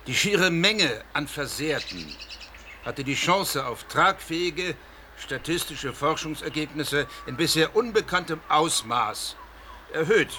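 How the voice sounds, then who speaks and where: A proud older surgeon who lectures his guests with easy self-assurance. The tone is bright and forceful, and a faint hiss sits underneath. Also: a little high, animated, slow, exaggerated voice. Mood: lecturing. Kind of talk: radio play acting.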